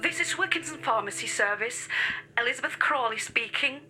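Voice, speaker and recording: An actress in a radio drama playing a polite, formal British pharmacy receptionist. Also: female voice, a little high, lively intonation, moderate pace, sharp tone, faint music behind. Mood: polite, businesslike.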